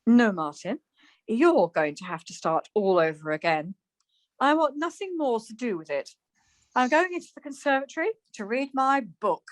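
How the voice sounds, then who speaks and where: A woman acting a chirpy, stubborn wife who is quick to retort, in a naturalistic radio play. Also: fairly high pitch, very animated, dry recording, low-quality recording. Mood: indignant, huffy. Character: Margaret, bright, stubborn, easily huffy wife in lockdown.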